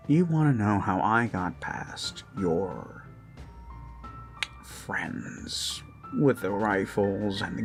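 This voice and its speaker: A performer of unclear sex improvising an ASMR roleplay as an obsessed kidnapper who dotes menacingly on a captive, halting and slipping into whispers. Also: mid-range voice. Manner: slow, halting, lapsing into whispers and giggles. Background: eerie background music.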